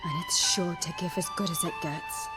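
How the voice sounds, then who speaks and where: A woman's voice: on the low side, fairly even intonation, normal speed, natural voice. An actress playing a pragmatic, determined northern noblewoman in a video game, dry and practical in manner. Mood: wry, determined. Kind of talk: video game cutscene acting. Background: loud music.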